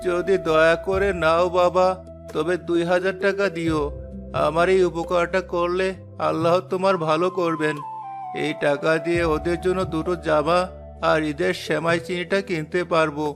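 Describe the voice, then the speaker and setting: A poor elderly grandfather in a cartoon, acted by a man, speaks with grateful humility. His voice is creaky and a little high for a man, and music plays clearly behind it. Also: level tone, normal speed, close to an everyday voice. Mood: grateful, pleading. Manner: pleading, humble. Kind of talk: cartoon dialogue.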